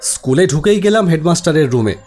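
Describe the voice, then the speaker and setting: A man's voice: a little high, animated, fast talker, a little mellow. A man giving a dramatic, scripted first-person narration of a horror story. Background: background music.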